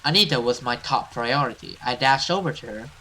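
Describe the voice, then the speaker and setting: A man's voice: medium pitch, brisk, pushed and bright, a little hiss or hum, rough recording. A man reading a horror visual novel aloud as a gamer, narrating it theatrically. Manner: theatrical, with casual swearing asides. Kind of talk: dramatic let's play narration of a horror story.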